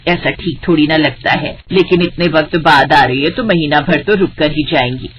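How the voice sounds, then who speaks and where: A cautious household elder in a cartoon family story, voiced by a woman. She is an older mother-in-law who speaks low and measured, advising matter-of-factly over faint background noise. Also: lo-fi sound, close to an everyday voice. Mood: cautioning.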